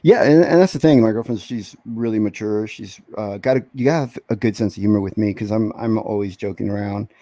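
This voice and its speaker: Mellow-voiced joking man. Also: on the low side, fairly quick, hardly any pauses, no room sound. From Texas. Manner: joking, laughs often, restarts. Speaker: guest, comic book inker. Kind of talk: casual chat.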